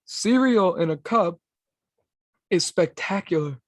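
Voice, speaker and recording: Podcast dude, raspy, kinda high voice, chill slangy chatter, dry close mic. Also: male voice, lively intonation, slow pace, no room sound. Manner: laid-back slangy talk. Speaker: podcast co-host. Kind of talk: casual chat.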